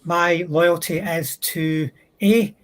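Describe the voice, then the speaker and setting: A man on a discussion panel explaining military history in a thoughtful, halting way, stretching out words. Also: on the high side, steady tone. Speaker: panel speaker.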